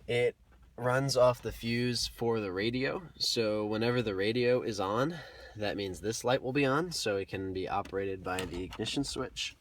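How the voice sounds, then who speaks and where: A man giving a casual, plainspoken step-by-step tutorial, explaining each step as he works. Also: lowish voice. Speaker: DIY tutorial host. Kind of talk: casual step-by-step how-to narration. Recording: clearly noisy hobby video with clicks.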